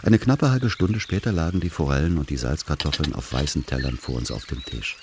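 A man playing a world-weary writer who narrates as a son in a literary radio play, measured and dry. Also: rumbling low, background noise. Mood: dry unease.

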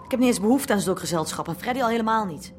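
A woman's voice: mid-range voice, lively intonation, rapid-fire, a little hiss or hum, natural voice. A woman playing a spirited young squatter in a radio drama, blunt yet caring. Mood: irritated.

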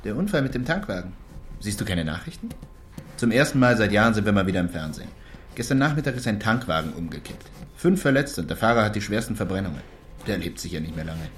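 An actor playing a wry, observant colleague who chats in a conversational, teasing way in a radio drama. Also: male voice, fairly low pitch, very animated, fast talker, slight background noise. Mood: chatty, wry. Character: chatty, wry male colleague, curious and observant.

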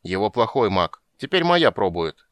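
Squeaky grumpy little dwarf girl, broken grammar, dead dry close mic, man doing the voice. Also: sing-song, normal speed, a bit bright, no room sound. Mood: petulant.

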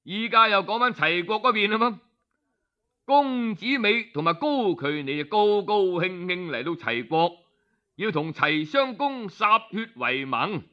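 Unhurried Cantonese male storyteller, slightly high voice. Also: lively intonation, muffled recording. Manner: explains with asides in colloquial speech. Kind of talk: traditional Cantonese storytelling, colloquial audiobook narration.

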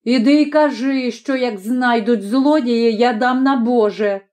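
A woman voicing a stingy old peasant mother who scolds and shouts at her family. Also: on the high side, measured pace, natural voice. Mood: scornful.

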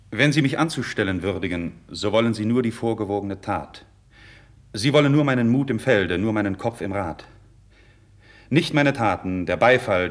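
Idealistic young noble speaking frankly to his king, fairly low voice, earnest formal verse delivery, old radio drama. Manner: declamatory, earnest, formal stage diction. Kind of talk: 1950s German radio drama, classical verse acting.

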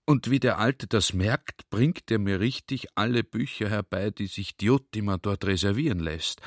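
A man's voice: fairly low pitch, no echo, clean studio sound. An actor playing a talkative, earnest army general in a radio play, speaking conversationally as if to a comrade and checking that he follows. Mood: confiding, amused.

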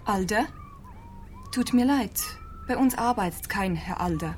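Woman acting curt young clinic lady in a radio drama, mid pitch, noisy background. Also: expressive, measured pace, a little mellow, close to an everyday voice. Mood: dismissive. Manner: curt, businesslike instructions. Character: brisk, officious young woman running a private clinic. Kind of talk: radio play dialogue.

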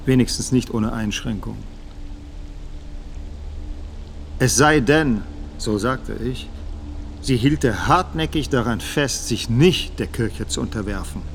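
An actor playing a careful middle-aged theology professor giving formal, measured testimony in a radio drama. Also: male voice, mid-range voice, a little hiss or hum, lightly acted. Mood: defensive, deliberate. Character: guarded, careful 56-year-old theology professor testifying as witness.

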